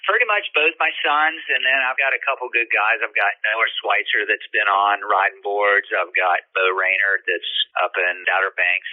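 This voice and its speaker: Older male surfboard shaper from the Bahamas, low-pitched and unhurried, heard through a tinny phone-like line. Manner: relaxed, rambling with fillers and restarts. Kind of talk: casual interview answers.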